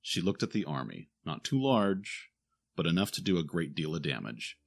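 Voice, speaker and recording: A man's voice: low-pitched, very animated, normal speed, creaky. A man reading scripted audio drama narration in a dramatic, solemn storytelling manner.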